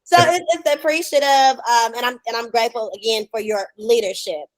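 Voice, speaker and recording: A woman in her thirties hosting a casual interview on a video call, talking in an expressive, colloquial way. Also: fairly high pitch, brisk, no room sound, rough recording. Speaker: podcast host.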